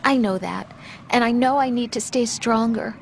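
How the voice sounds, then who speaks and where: A devoted young woman in a video game cutscene. Her voice is fairly high, tender and worried, with faint noise behind it. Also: normal speed, creaky. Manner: tender, earnest.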